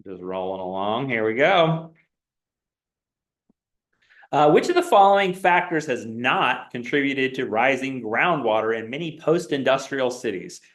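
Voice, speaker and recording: A man's voice: mid-range voice, fairly bright tone. A male instructor running a quiz review game with a class, reading multiple-choice options aloud with a rising, questioning tone. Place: lecture classroom. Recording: echoey classroom.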